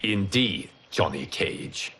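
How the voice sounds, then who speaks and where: An actor playing a calm, solemn god of fire and protector in a video game, speaking formally and gravely. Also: male voice, low voice, slow pace, a little hiss or hum, heavily acted. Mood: serene, assured. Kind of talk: cinematic video game voice acting.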